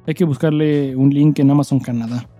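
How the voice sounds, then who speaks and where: A man's voice: medium pitch, steady tone, fairly quick, mellow, faint music behind. A man with a Mexican accent co-hosting a podcast, chatting and reading listener mail in a crude, slangy, joking way. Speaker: podcast co-host.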